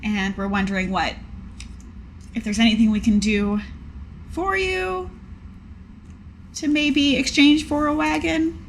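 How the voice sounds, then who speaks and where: A woman's voice: high voice, fairly even intonation, takes it slowly, long pauses, a little hiss or hum. A woman playing a tabletop role-playing game, talking casually as she improvises her part.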